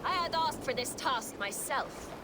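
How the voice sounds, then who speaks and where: Cheeky viking warrior lady, high voice, telling stories boldly over loud sea and boat noise. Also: lively intonation, a little slow. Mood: proud, playful. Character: spirited, cheeky female Viking warrior recounting her exploits.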